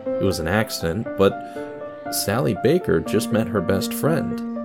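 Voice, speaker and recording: A man's voice: lowish voice, lively intonation, a little slow, creaky, over loud music. A man reading scripted narration for an audio drama, telling a story in a dramatic style.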